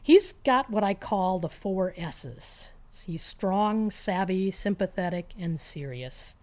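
A woman's voice: medium pitch, expressive, slow pace, darkish tone. A woman reading a first-person short story in a conversational, wry and emphatic way, with clipped phrases, as if talking to someone.

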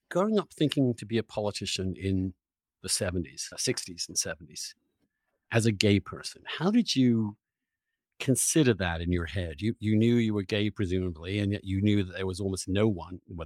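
A man's voice: deep voice, animated, normal speed, darkish tone, dry recording. A man hosting a conversational interview podcast, feeling his way through questions and rephrasing as he goes. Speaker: podcast host.

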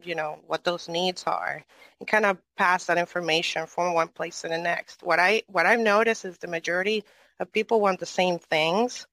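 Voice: medium pitch, steady tone, moderate pace, bright, forceful tone, no echo, low-quality recording. A moderator from Venezuela in a casual online audio chat, asking a long, rambling question and often tagging on "right?".

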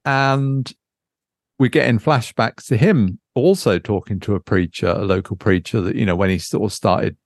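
A man's voice: lowish voice, moderate pace, no room sound, clean studio sound. A man chatting casually as a podcast host, thinking aloud hesitantly and drifting into asides. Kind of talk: casual unscripted book chat.

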